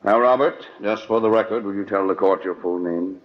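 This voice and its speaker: Unflappable male defense attorney, played by an actor: deep, measured, assured, 1940s radio drama with muffled vintage sound. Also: natural voice. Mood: earnest, formal. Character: confident, unflappable male defense lawyer, protective of client. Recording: muffled old radio recording.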